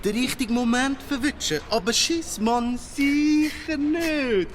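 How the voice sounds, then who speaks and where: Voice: high-pitched, unhurried, a little hiss or hum. An actor playing a brash, streetwise big-city cockroach in a children's radio play, with Swiss German speech and hip-hop slang mixed with English. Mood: cocky.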